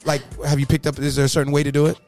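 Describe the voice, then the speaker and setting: A man's voice: a little high, level tone, racing, creaky, darkish tone, soft background music. A man hosting a casual, unscripted interview, asking blunt questions in slangy, informal language. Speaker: show host, interviewer.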